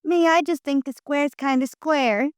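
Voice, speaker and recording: Coy young dancer, high-pitched, dry close sound, 1950s radio play. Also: female voice, fairly even intonation, unhurried, no room sound.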